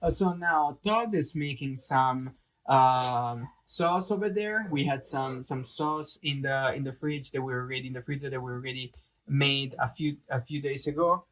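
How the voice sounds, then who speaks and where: A man's voice: mid-range voice, fairly bright tone, slight background noise, poor audio. A man with an Italian accent, co-hosting a casual cooking demonstration in a kitchen, often repeating words as he hunts for the right one. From Italy. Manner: halting, repeats words while searching for them. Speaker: cooking show co-host.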